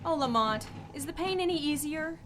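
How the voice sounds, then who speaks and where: A woman's voice: high-pitched, animated, moderate pace, background noise. A woman playing a devoted, anxious female companion in a radio play, worried and pleading. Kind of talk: amateur radio play acting.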